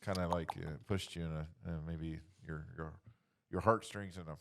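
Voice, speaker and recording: A man in a casual group chat, speaking haltingly as he searches for his words. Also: low voice, fairly even intonation, background noise.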